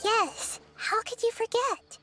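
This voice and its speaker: A woman voicing a small, kind and wise childlike girl deity in a video game, gentle and thoughtful. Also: very high voice, slow pace, soft background music, full character voice. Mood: curious. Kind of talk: scripted video game dialogue.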